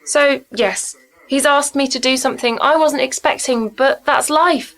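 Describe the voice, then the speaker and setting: Woman acting high-pitched, self-doubting poet. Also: a little slow, fairly bright tone. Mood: defensive. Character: self-doubting but ambitious woman performance poet, defensive. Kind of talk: naturalistic radio drama acting.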